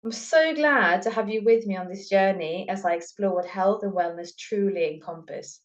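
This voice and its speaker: A woman's voice: medium pitch, moderate pace, muffled recording. Woman podcast host with a Norwegian accent. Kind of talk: scripted intro and casual interview chat.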